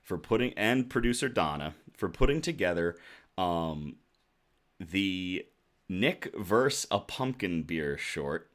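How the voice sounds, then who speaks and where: A man hosting a casual livestream, chatting to viewers in a jovial, effusive way, his voice slightly rough from shouting. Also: sits low, lively intonation, slow. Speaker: host of a casual news and beer livestream.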